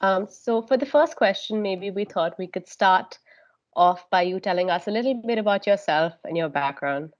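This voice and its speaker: A woman's voice: mid-range voice, normal speed. Young woman co-host with lo-fi sound. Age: young adult. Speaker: student podcast co-host. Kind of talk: scripted guest introduction. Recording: dull lo-fi sound.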